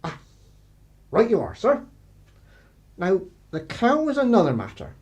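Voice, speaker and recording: A man acts a village constable in a radio drama, with an English accent. He is apologetic, plodding and slyly polite, his pitch rising and falling in a very lively way. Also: a little slow, exaggerated voice. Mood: deferential, hesitant. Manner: hesitant, apologetic, polite, roundabout. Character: deferential, plodding but sly male village constable. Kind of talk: radio play acting.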